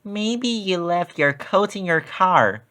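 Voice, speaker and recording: A man puts on a clever young schoolgirl detective for a children's storybook. The voice is lifted high, with an earnest, deliberate way of talking. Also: lively intonation, bright, forceful tone, full character voice. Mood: thoughtful.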